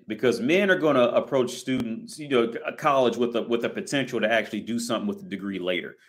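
An American man in his thirties talking to camera in a rambling, blunt monologue, full of restarts and fillers and casual swearing. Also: mid-range voice. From United States. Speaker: vlogger.

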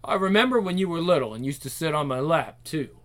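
A performer voicing a gentle, loving old grandfather who speaks tenderly in a story. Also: mid-range voice, expressive, brisk, lightly acted. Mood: tender, regretful.

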